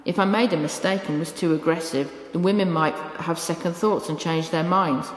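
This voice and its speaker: A woman's voice: fairly low pitch, steady tone, moderate pace, natural voice. A British woman voicing a loving, clever rescue dog that tells its own story in a bedtime storybook style. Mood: anxious. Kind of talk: first-person storybook narration. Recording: echoey room with long reverb.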